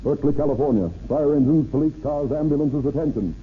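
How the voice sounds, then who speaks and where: A man's voice: a little high, fast. A man announcing a 1930s radio commercial as a police bulletin, clipped, emphatic and commanding. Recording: tinny, hissy old radio recording.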